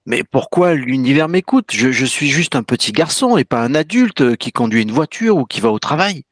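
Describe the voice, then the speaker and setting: A man's voice: medium pitch, lively intonation, normal speed, dry recording, clean studio sound, natural voice. A man voicing a sad, bewildered little schoolboy in a children's tale, hesitant and plaintive. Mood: puzzled. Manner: hesitant, plaintive, stammering in wonder.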